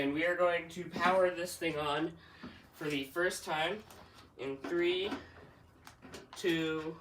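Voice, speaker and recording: A man doing an unscripted product walkthrough, halting as he thinks aloud step by step. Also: fairly high pitch, slight background noise. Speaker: hobbyist product reviewer. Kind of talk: unscripted unboxing and setup walkthrough.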